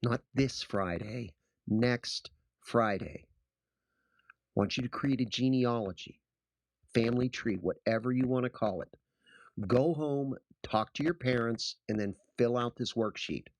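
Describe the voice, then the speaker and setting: A man playing a sardonic high school history teacher in an audio drama, giving emphatic instructions with dry sarcasm. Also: measured pace, dry recording, natural voice. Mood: instructing.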